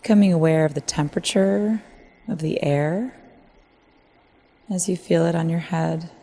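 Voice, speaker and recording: A woman leading a guided meditation in a gentle, measured way, leaving long pauses. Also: sits low.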